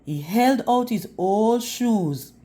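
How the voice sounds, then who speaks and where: Slow, expressive woman reading to children. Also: fairly high pitch. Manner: deliberate, teacherly, addressing children. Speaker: children's read-aloud storyteller. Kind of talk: expressive storybook reading with questions to young listeners.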